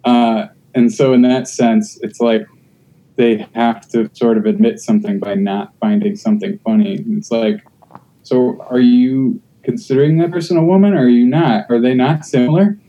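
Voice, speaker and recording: A man's voice: medium pitch, steady tone, moderate pace, warm tone, rough recording. A man who does stand-up, talking casually on a podcast, blunt and riffing, sometimes mimicking how people react. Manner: blunt, riffing, acts out hecklers' voices. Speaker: stand-up comedian.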